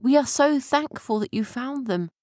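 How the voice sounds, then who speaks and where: An eager young boy from a storybook, voiced by a woman, polite and earnest. The voice is raised and lively in pitch. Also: normal speed, no echo, clean studio sound. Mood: relieved.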